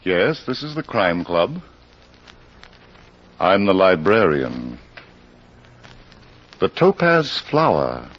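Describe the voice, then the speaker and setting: An actor playing an ominous crime club librarian who narrates in a theatrical, suspenseful 1940s radio mystery style. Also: male voice, low voice, sing-song, exaggerated voice. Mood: inviting, ominous. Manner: theatrical, suspenseful, deliberate phrasing. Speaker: host and narrator. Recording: muffled, very noisy old radio recording.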